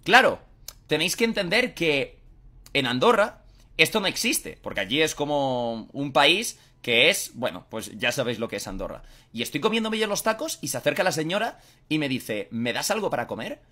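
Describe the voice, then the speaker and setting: A man's voice: on the high side, moderate pace. A young man, animatedly telling a story to the camera in a room while wearing a headset. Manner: animated, conversational. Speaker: YouTuber. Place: indoors in a room.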